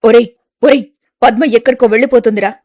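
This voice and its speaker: A woman's voice: a little high, fairly even intonation, fast talker, darkish tone, dry recording, clean studio sound, natural voice. A woman voicing a worried, weary village mother-in-law who frets and complains, in a family story. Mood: anxious. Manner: fretful, complaining.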